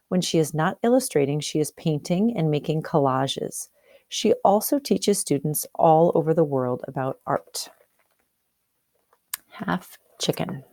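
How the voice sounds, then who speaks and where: A woman's voice: deep voice, darkish tone, no room sound, studio quality. A woman teacher reading a storybook aloud expressively, explaining and asking questions as if to young pupils. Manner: explanatory, questioning, checks understanding.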